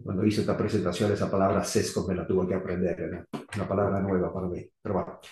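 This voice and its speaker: A middle-aged man from Argentina, now living in the US, giving an unscripted technical talk on electrical safety in halting, rusty Spanish full of restarts and fillers. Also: deep voice, little pitch movement, darkish tone, slight background noise. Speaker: electrical safety engineer presenting. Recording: echoey room.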